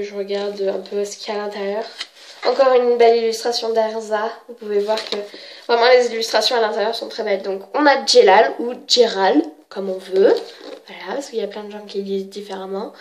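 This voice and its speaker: A woman's voice: mid-range voice, fairly even intonation, normal speed, a little hiss or hum. A woman with a cold chatting casually as she shows off her manga books, hesitant and full of fillers and self-corrections. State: has a cold. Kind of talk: casual show-and-tell.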